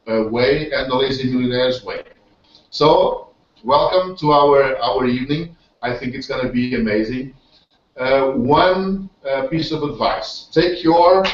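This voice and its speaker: A man with a Portuguese accent hosts a motivational online hangout in English, his second language, stopping often on fillers and restarting his sentences. He sits back from a webcam, so his voice comes through distant in a roomy space. The sound is dull and lo-fi. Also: mid-range voice. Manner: hesitant, many fillers and restarts. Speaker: host. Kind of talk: motivational webinar talk.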